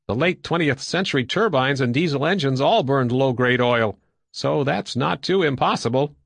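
A man's voice: mid-range voice, normal speed, gravelly, no echo, pitched above the performer's own voice. A man reading an audiobook as a practical, observant crewman, thoughtful and matter-of-fact in manner. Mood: musing. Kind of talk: audiobook character dialogue.

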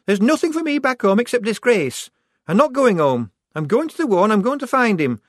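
Brief: man voicing a young woman posing as a soldier, high, emphatic and easily flustered, storybook reading. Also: lively intonation, a little fast, dry recording, clean studio sound. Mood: defiant.

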